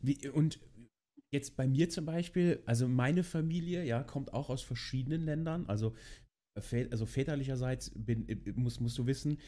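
A man's voice: mid-range voice, normal speed, warm tone. A man hosting a podcast, asking questions in casual chat and often repeating words as he speaks. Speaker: podcast host. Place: studio with colorful backdrop.

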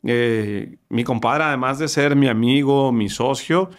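Energetic Mexican podcast host, studio mic. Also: male voice, medium pitch, measured pace. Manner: energetic, gestures with hands, frequent restarts. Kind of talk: podcast interview. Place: studio with wood-paneled wall. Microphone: studio mic on boom arm.

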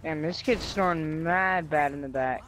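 A man's voice: fairly high pitch, normal speed, fairly bright tone. A man improvising roleplay chat in an online game. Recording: muffled mic, faint background noise.

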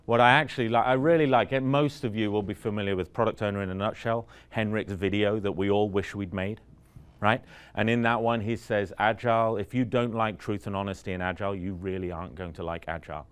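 A man's voice: on the low side, fairly even intonation, brisk, clean tone. Man giving a conversational conference talk. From Vancouver, Canada. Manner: conversational, asks the room questions, frequent "right?". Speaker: conference speaker. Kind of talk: conference talk with audience questions. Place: conference room in front of an audience.

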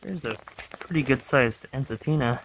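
A man giving casual, low-key narration as a wildlife vlogger filming outdoors from behind the camera. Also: normal speed, a bit bright, low-quality recording. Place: outdoors in woods and grassland. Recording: outdoor ambient noise.

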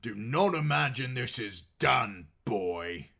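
A defiant highborn captive acted by a male narrator speaks in a raised, forceful voice. He is scornful and zealous, declaiming his warning as in a fantasy storybook. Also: animated, takes it slowly, gravelly, exaggerated voice. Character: defiant bastard nobleman, zealous, scornful prisoner.

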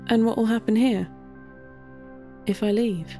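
A woman's voice: on the high side, steady tone, a little slow, dark tone, soft background music. A woman voicing a confused, lonely ghost in a story, plaintive and questioning. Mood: wondering.

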